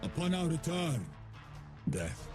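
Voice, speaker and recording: Stern warrior, slow and declamatory. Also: male voice, a little high, very animated, darkish tone, soft background music. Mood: fierce battle cry. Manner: grave, declamatory, deliberate. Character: defiant, stern warrior man, rallying against gods. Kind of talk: video game character acting.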